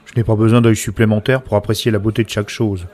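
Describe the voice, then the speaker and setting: A man playing a passionate sceptic in a scripted science-fiction audio drama, speaking in a serious, deliberate, reproachful way. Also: lowish voice, little pitch movement, darkish tone, close to an everyday voice. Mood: wounded, passionate. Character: Abel, sceptical passionate man guarding privacy and real feeling. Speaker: voice actor.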